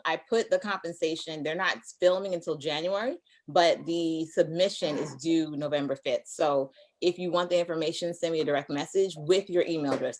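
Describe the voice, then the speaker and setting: A woman in her thirties hosting a casual chat, talkative and teasing, with quick side notes. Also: low voice. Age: 30s. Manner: chatty, teasing, quick asides. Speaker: host.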